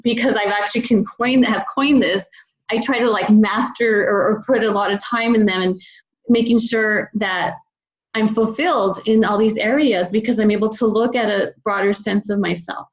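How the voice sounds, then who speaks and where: A woman's voice: medium pitch, steady tone, normal speed, highs cut off. A woman speaking as a podcast guest, reflecting in an earnest, encouraging, conversational way. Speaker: podcast guest, children's book author. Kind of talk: conversational interview, personal reflection.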